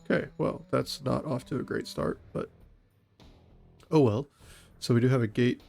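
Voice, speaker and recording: Gravelly stuffy man explaining game tactics. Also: medium pitch, normal speed, soft background music. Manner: calm, methodical explaining of tactics. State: stuffy from a cold. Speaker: gaming channel host and SWAT operator. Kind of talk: tactical gameplay commentary.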